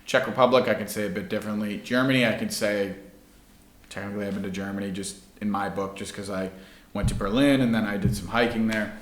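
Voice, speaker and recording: A man's voice: fairly low pitch, steady tone, quick pace, echoey room. A male podcast host chatting in a laid-back way, saying "like" a lot. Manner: laid-back, heavy use of "like". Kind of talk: casual chat.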